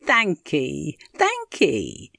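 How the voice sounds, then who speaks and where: A woman's voice: sits low, wildly swooping pitch, very slow, creaky, a bit bright, no room sound, studio quality, heavily acted. A woman voicing an old, sly, supposedly deaf village woman with a quavering rural English dialect in a story reading. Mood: warm, secretly amused. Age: older. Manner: quavering, rustic.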